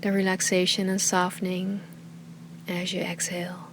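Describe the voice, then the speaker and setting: Soft, calm woman guiding meditation, slightly noisy recording. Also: on the low side. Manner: calm, soothing, unhurried, often trailing into breathy softness. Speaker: yoga and meditation teacher. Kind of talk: guided meditation, soft slow instruction.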